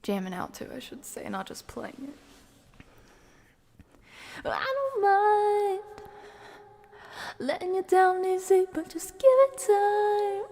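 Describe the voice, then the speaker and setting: A woman livestreamer casually singing along and making soft, playful chatty asides, sometimes in a whisper. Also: very high voice, expressive, slow pace, long pauses. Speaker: livestreaming ASMR creator. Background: music playing from a TV.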